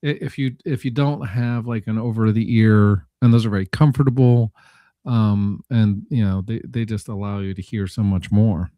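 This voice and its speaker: A man chatting casually as a panelist, talking in a halting, rambling way and often correcting himself. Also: on the low side, steady tone, no echo, lo-fi sound. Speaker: panelist on a live audio show. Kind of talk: casual unscripted chat.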